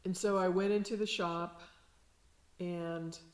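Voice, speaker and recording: Slow, low-voiced woman in a roomy space. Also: fairly even intonation. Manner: gentle, unhurried, reflective. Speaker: shamanic sound healer teaching. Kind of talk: slow calm instructional talk.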